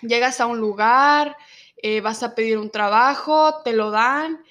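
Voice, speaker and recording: A nineteen-year-old woman from Mexico with a Mexican Spanish accent, telling her own story in an interview and pausing often on fillers. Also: on the high side, fairly bright tone, lo-fi sound. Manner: reflective, many filler pauses. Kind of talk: personal testimony in an interview.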